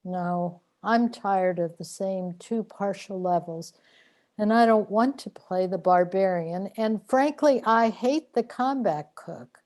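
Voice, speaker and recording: A woman's voice: medium pitch, slow, darkish tone, close to an everyday voice. A woman playing a weary, complaining soul trapped in limbo in a sci-fi radio drama, with a plaintive delivery. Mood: weary, fed up.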